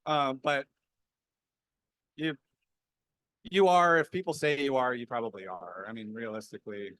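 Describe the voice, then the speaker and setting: A man's voice: medium pitch, steady tone, moderate pace, fairly bright tone, no echo. A man speaking candidly and informally as a panelist, self-deprecating as he answers in a conversational way. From small town, grew up there. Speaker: panelist, experienced software developer.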